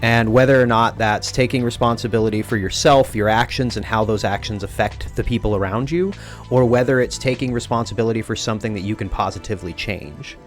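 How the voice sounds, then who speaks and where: Earnest, animated young man from rural Texas. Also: lowish voice, a little fast, faint music behind. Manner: earnest, emotional, talks with his hands. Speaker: podcast host. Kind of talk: casual conversation.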